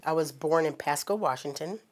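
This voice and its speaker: A woman with a low voice, raised in Portland, talks about her Navy years in an interview. Her delivery is matter-of-fact with a good-humored edge. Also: moderate pace. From Portland, Oregon. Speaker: building inspector and Navy veteran. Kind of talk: recorded interview testimony.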